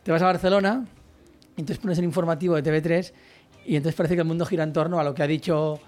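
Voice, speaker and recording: A young man from Catalonia speaks Spanish with a Catalan accent, chatting fast as an interview guest. His voice sits a little high. He rambles, restarts and fills his sentences with "o sea". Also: steady tone, darkish tone. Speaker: guest writer and journalist. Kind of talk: casual interview chat.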